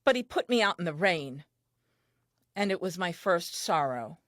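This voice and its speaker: A woman's voice: fairly low pitch, big pitch swings, normal speed, fairly bright tone, no echo, studio quality. An actress playing an earnest, naive and tender first woman, telling her diary in an audio play with wide-eyed expression. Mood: hurt, sorrowful.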